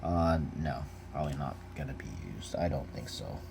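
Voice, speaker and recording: A man's voice: a bass voice, moderate pace, gravelly, background noise. A man talking casually and off the cuff as he reviews trading cards, laid-back in manner, reading out text and giving brief verdicts. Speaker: card game unboxing vlogger.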